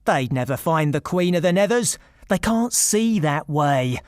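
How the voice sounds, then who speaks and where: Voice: mid-range voice, lively intonation, measured pace, no room sound, studio quality, lightly acted. A storybook narrator voicing a small, knowing male pigeon with a stern, solemn manner.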